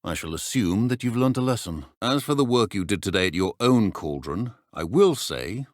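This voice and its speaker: An actor playing a stern, dry-witted middle-aged British potions professor in a video game, speaking in a measured, exacting, deadpan manner. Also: male voice, fairly low pitch, no echo, lightly acted. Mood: stern, disapproving. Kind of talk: scripted game dialogue.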